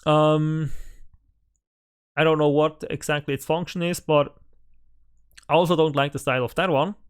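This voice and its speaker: A man's voice: a little high, flat delivery, normal speed, smooth. A man who is a native German speaker, hosting casual game news commentary from home on a desk microphone, thinking aloud with lots of fillers and restarts. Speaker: gaming channel host.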